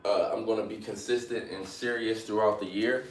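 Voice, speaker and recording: A young man in his late twenties, chatting on a live stream with a low voice. He keeps coming back to "you know what I mean" and says his encouraging lines more than once. The room around him is noticeably echoey. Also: steady tone, normal speed, slight background noise. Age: 20s. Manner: repeats phrases, motivational, fillers like "you know what I'm saying". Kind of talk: casual live stream chat.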